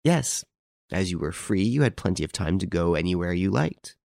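A man voicing a confident, sly gentleman detective in an audiobook, good-humoured but emphatic. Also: low voice, animated, normal speed, creaky, no echo, clean studio sound. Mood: pressing, accusing.

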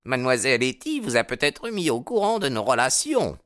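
A man's voice: mid-range voice, sing-song, brisk, fairly bright tone, no room sound, clean studio sound, voice pushed up. A man voicing an arrogant, jealous young rival in an audiobook, brusque, sneering and hot-tempered. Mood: brusque, threatening.

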